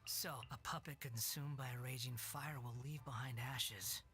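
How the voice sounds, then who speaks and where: An actor voicing a scornful, haughty young puppet in a video game, disdainful and formal. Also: male voice, medium pitch, steady tone, moderate pace, sharp tone, soft background music, natural voice. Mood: cold scorn.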